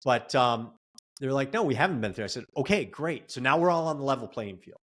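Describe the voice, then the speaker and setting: A man's voice: medium pitch, expressive, brisk, a bit bright. Earnest man giving plain-spoken advice. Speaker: real estate investor and coach. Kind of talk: casual podcast interview.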